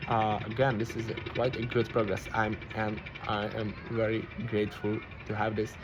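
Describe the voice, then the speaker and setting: A man with a Hungarian accent and a lowish voice muses aloud in a rambling, reflective monologue, stopping and restarting as he hunts for words. The recording carries a clear wash of background noise, with vehicles passing now and then. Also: little pitch movement. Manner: halting, searching for words, many restarts. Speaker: vlogger.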